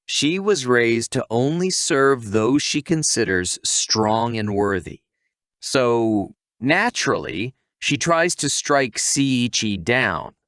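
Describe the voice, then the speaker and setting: Slow, lively male recap narrator. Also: medium pitch, no room sound, studio quality. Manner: casual asides to the listener. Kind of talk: scripted storytelling narration.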